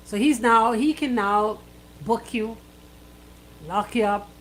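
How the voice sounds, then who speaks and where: A woman's voice: a little high, slow pace, fairly bright tone, slight background noise, poor audio. A woman co-hosting radio, bantering casually in a teasing, insistent manner. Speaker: radio co-host.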